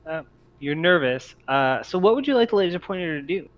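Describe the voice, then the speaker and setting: A man's voice: on the high side, lively intonation. Man game master on muffled, noisy recording. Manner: halting, piecemeal descriptions. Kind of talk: improvised tabletop game narration. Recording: dull lo-fi audio, noisy background.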